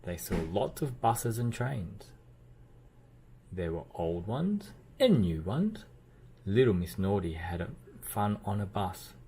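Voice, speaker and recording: A man reading a children's storybook aloud as narrator, with expressive delivery and playful emphasis. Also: lowish voice, takes it slowly, a little hiss or hum. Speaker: children's book reader.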